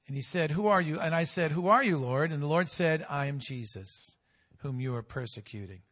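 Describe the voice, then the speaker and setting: A male pastor preaching a sermon with call-and-response, swinging between loud and hushed, drawing out key phrases slowly. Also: fairly high pitch, animated, big-room sound.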